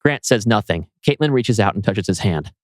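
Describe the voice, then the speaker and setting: A man's voice: lowish voice, animated, moderate pace, a little mellow, dry recording, clean studio sound. A man narrating a screenplay, reading out scene directions as scripted narration.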